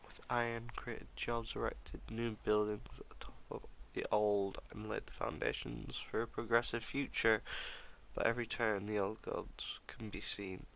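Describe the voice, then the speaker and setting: A male gaming host who sounds a little nasal from his allergies, murmuring through in-game notes and musing aloud. His voice is somewhat low with a gravelly edge and a bright, forward tone. A faint hiss of noise sits underneath. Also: little pitch movement, normal speed, low-quality recording. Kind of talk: let's play commentary.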